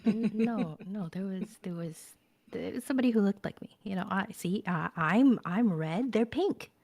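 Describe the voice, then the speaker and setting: A woman's voice: mid-range voice, expressive, normal speed, darkish tone. A woman, a voice actor guest, chatting casually in a playful, mock-dramatic way. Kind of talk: casual after-show chat.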